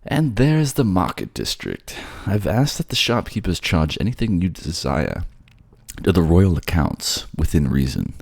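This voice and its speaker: A man puts on a suave merman king for an audio romance. He is indulgent and courtly, with gentle teasing. The voice is low and gravelly, recorded close and dry. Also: very animated, unhurried, dry recording, full character voice. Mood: courteous. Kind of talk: romantic audio roleplay acting.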